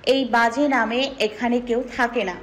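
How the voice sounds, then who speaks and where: A woman's voice: on the high side, fairly even intonation, a little slow, lightly acted. A woman playing a sulky, curt young female student in an audio story. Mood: annoyed. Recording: music under the voice.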